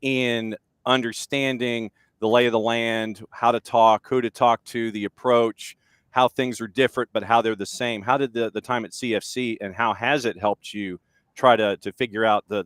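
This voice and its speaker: A man's voice: lowish voice, fairly even intonation, normal speed, a bit bright, clear, resonant tone, no room sound, clean studio sound. A man hosting a talk show, asking long, rambling questions in a casual way. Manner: rambling, conversational questions with many restarts. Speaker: radio show host. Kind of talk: casual interview.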